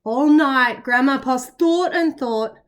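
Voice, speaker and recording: A woman reading a picture book to young children in an animated, expressive way, with playful asides. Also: high voice, takes it slowly. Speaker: children's story reader, kindergarten teacher.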